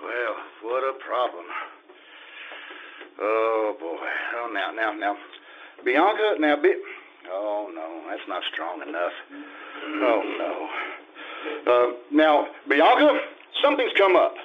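An actor playing a flustered, stammering husband, dithering and exasperated, in a screwball comedy radio play. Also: male voice, lowish voice, very animated, slow, long pauses. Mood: nervous rehearsing. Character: flustered, stammering newlywed husband turned accidental bigamist. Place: live theatre stage. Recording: muffled live stage recording with hiss.

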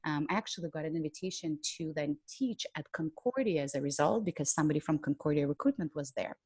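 Candid woman guest, low dry voice. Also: animated, fast, a little mellow, slightly rough, no room sound. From Ukraine. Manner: candid, laughs often, many "um" and "you know" fillers. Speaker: podcast guest, public speaker. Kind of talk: reflective interview conversation.

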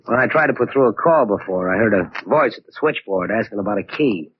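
An actor playing a shrewd, bluffing American sleuth in 1940s radio crime drama, measured and quietly needling. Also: male voice, on the low side, lively intonation, natural voice. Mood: calmly triumphant. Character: shrewd male amateur sleuth, cool and bluffing. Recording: dull, lightly noisy old radio recording.

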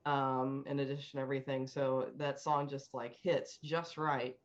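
A woman's voice: a bass voice, level tone, normal speed, dull audio. A middle-aged woman chatting casually and candidly as a panel guest on a call. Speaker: panel guest working in healthcare.

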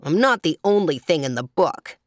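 A woman's voice: on the low side, very animated, unhurried, no room sound. An impudent male clown out of a children's book, performed by a woman reader. He is silly and a bit rude, with a cross, sulky edge. The sound is dry and close. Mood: annoyed. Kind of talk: children's storybook character reading.

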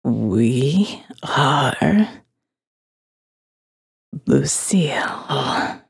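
A reanimated dead woman, speaking very slowly in a low, husky whisper. She is solemn and courteous, halting as if through stiff lips, in a horror audio drama. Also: lively intonation, no room sound, clean studio sound, heavily acted. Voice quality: breathy, whispery, creaking. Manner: slow, halting, stiff-lipped, formal. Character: reanimated female corpse, hive-mind host, slow, solemn, courteous.

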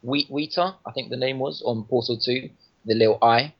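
A man's voice: medium pitch, moderate pace, creaky, slightly rough. A man chatting casually on a gaming podcast, rambling with lots of restarts and laughing at his own jokes. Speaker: podcast guest reviewing a game. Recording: lo-fi podcast audio.

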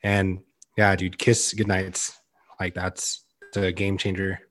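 Loud, earnest young man, casual podcast talk. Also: low voice, completely flat delivery, takes it slowly. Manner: loud, earnest, heavy use of "like". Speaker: podcast co-host. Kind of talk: casual chat.

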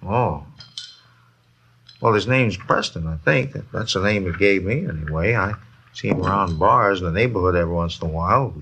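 An actor playing an evasive middle-aged barfly in a 1950s radio drama, hesitant and repetitive, with a gravelly voice. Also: male voice, deep voice, animated, moderate pace, sharp tone, slight background noise, full character voice. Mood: sly, wheedling. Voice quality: gravelly, husky.

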